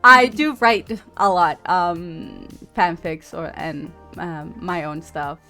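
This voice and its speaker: A woman's voice: on the low side, takes it slowly, sharp tone, soft background music. A woman, a podcast co-host in a casual interview, who talks hesitantly with many fillers and frequent laughs.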